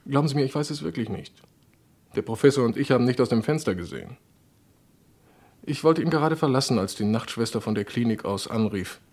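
An actor playing an evasive gentleman doctor in a German radio crime drama, speaking in a courteous, guarded manner. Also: mid-range voice, animated, brisk. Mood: defensive.

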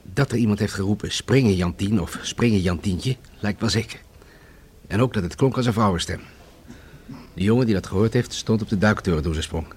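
Man playing a sober, low-voiced detective. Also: brisk, a little mellow, a little hiss or hum, close to an everyday voice. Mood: measured reporting. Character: male police detective, sober, measured, mildly wry colleague. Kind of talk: Dutch radio play acting.

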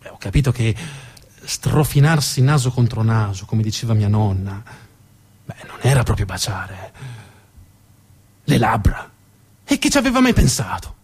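A man playing a naive, proud eighteen-year-old boy in a stage monologue, confiding in a boyish, halting way. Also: on the low side, sing-song, breathy edge, pitched below the performer's own voice, exaggerated voice. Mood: bashful pride. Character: Pierino, boastful naive eighteen-year-old boy recalling his first kiss.

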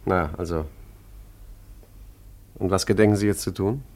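A man plays an urbane, slippery art forger in a radio drama. His voice is fairly low, with a wry, politely ironic delivery and hesitant stammers. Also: fairly even intonation, moderate pace, a little mellow, close to an everyday voice. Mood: flustered, wry. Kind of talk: German radio play narration and dialogue.

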